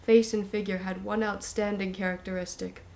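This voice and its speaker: A woman's voice: mid-range voice, little pitch movement, normal speed, gravelly, darkish tone, lightly acted. A woman reading a novel's character description aloud at an audition. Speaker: actor auditioning. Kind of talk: audition reading of literary prose.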